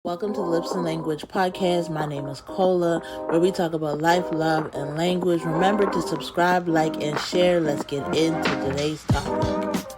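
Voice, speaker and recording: A woman in her thirties hosting her own podcast, speaking earnestly and haltingly about something personal, repeating phrases as she works through it. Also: fairly low pitch, over loud music. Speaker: podcast host. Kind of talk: personal reflective monologue.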